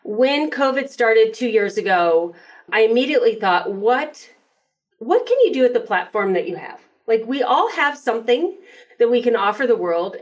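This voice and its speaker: Woman, podcast host, conversational delivery, mid-range pitch, slightly distant from the mic. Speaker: professional organizer and motivational speaker.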